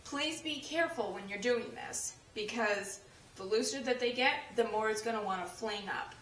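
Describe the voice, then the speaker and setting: A young woman with a hoarse, fading voice, chatting through a cleaning demonstration with lots of fillers and restarts. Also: fairly high pitch, moderate pace, a bit bright, a little hiss or hum. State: losing her voice. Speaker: cleaning tips creator. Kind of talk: casual how-to demonstration. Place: home kitchen. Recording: echoey room, voice from a distance.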